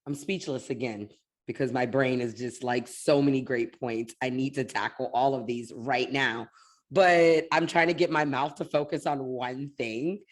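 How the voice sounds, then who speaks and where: A woman hosting a podcast interview, animated, quick to agree and talking in bursts. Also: very deep voice. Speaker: podcast host.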